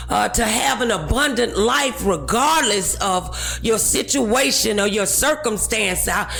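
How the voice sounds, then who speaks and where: A woman's voice: on the high side, gravelly, a bit bright. A woman hosting a talk show, preaching encouragement to her audience in an emphatic, exhorting manner with scripture.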